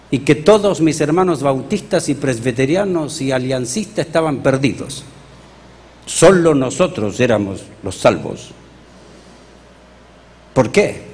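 Chilean male pastor, high voice, animated sermon delivery, reverberant hall. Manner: animated, rhetorical questions to the congregation.

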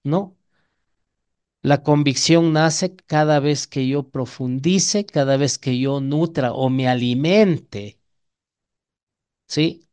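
A man's voice: medium pitch, darkish tone, clean tone. A man with an Ecuadorian Spanish accent preaching an evangelical sermon in a didactic way, often putting questions to his listeners.

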